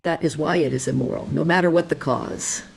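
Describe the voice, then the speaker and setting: A woman's voice: low voice, brisk, vocal fry, slightly rough, full character voice. An actress playing a concerned woman, earnest and insistent as she defends someone in a crime radio play. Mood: indignant, pleading. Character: concerned, indignant woman defending an old man.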